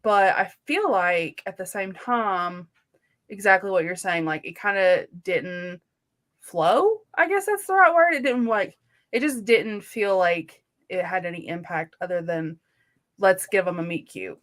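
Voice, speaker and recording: A woman in a casual book discussion as a co-host, talking informally with slangy phrasing and softened opinions. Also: mid-range voice, animated, unhurried.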